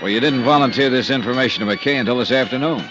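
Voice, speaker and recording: An actor playing a terse, hard-boiled insurance investigator in a 1950s radio drama, with a clipped, blunt way of questioning and music playing under the scenes. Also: male voice, mid-range voice, fast talker, close to an everyday voice. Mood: probing.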